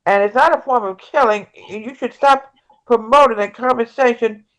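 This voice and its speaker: A woman reading the part of an earnest progressive presidential candidate in an amateur play table read, with halting, repetitive phrasing. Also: on the high side, a bit bright, close to an everyday voice, poor audio. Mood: argumentative.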